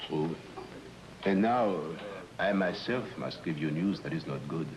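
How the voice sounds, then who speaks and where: An actor playing a grave, deferential informant with a gravelly voice and formal, halting speech in an old-time mystery drama. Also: male voice, deep voice, lively intonation, crowd noise, low-quality recording. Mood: courteous.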